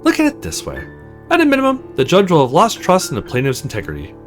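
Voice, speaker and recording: Guy doing a measured lawyer type in a game, explains things formally, faint music behind him. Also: fairly high pitch, huge pitch swings, pitched above the performer's own voice. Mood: reassuring. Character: upbeat, measured male legal advisor.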